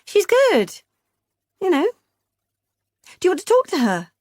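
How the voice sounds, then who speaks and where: A gushing, faintly irritating sister, voiced by a woman narrator, chirps in a very high sing-song voice. The recording is dry and close. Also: wildly swooping pitch, measured pace, a little mellow, dry recording, full character voice.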